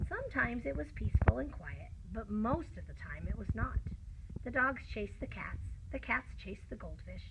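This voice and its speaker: A woman tells a children's story with plenty of drama. Her voice sits fairly high and moves around a lot, with a creaky, gravelly edge. She reads expressively, as a storyteller would. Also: normal speed, heavy background noise. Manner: animated, dramatic delivery of characters. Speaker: storyteller reading a children's book aloud. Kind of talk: expressive read-aloud storytelling.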